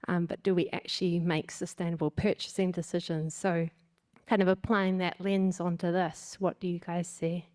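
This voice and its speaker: A woman's voice: lowish voice, darkish tone, smooth. A middle-aged woman asking a conversational question from the audience into a handheld microphone. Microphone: handheld roving microphone.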